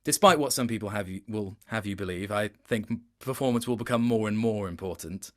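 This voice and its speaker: A man chatting as an interview guest about his work, reflective, often restarting and correcting himself mid-sentence. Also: fairly low pitch. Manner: reflective, self-correcting, halting phrasing. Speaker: interview guest, video game voice actor. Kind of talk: casual interview chat.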